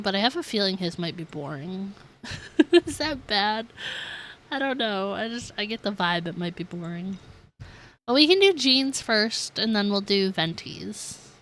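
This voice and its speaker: A woman's voice: mid-range voice, fairly bright tone. A woman game streamer chatting casually to her viewers, musing aloud in a giggly, chatty way. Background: game music.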